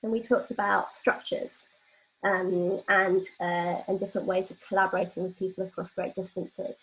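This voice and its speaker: A young woman leading an informal online workshop, explaining things in a hesitant way with pauses mid-phrase. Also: lowish voice, flat delivery. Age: young adult. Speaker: workshop leader. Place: online video call from home. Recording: dull lo-fi online call audio.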